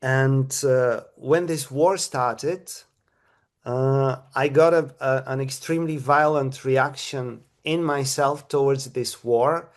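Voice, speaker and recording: A man's voice: medium pitch, fairly even intonation, slow. A middle-aged man, speaking at a press conference as a theatre director, who stops and restarts often and repeats his words. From Bulgaria. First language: Bulgarian.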